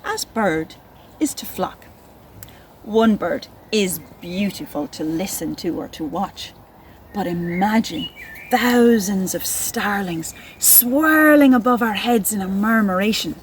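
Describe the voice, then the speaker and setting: Slow, deliberate woman teaching children. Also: medium pitch, slight background noise. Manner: expressive, deliberate, addressing young children. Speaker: nature educator and poetry reader. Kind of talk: children's educational narration and poem reading.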